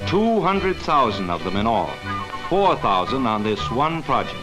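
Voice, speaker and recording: A man's voice: medium pitch, very animated, unhurried, poor audio. Male narrator for a vintage educational film. Earnest, emphatic, varied intonation, light music underneath. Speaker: documentary narrator. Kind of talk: scripted narration, mid-century educational film.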